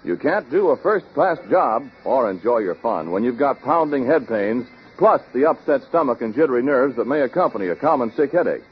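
A man's voice: medium pitch, expressive, a little fast, hardly any pauses. A man reading a 1930s radio advertisement as announcer, with emphatic salesman delivery. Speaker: commercial announcer. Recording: muffled, noisy 1930s radio recording.

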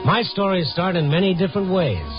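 Wry American reporter on old radio. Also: male voice, high-pitched, animated, brisk. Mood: brooding. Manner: wry, conversational, hardboiled. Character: wry, world-weary Chicago newspaper reporter narrating his night beat. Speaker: lead actor and narrator. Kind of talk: 1950s radio drama narration. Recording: dull vintage radio recording, music behind.

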